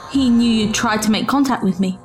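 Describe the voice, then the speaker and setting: Woman as proud, higher-voiced time traveller. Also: brisk, faint music behind, close to an everyday voice, low-quality recording. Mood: disappointed. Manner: formal, pointed, challenging. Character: proud, sharp, distrustful female time traveller. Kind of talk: audio drama acting.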